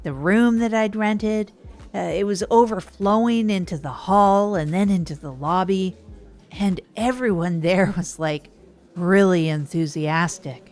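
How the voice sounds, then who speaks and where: A woman's voice: medium pitch, a little slow, darkish tone, natural voice. A woman playing an enthusiastic, overwhelmed small-town reporter, narrating conversationally in a comedy audio drama. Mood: enthusiastic recounting. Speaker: narrator and series creator.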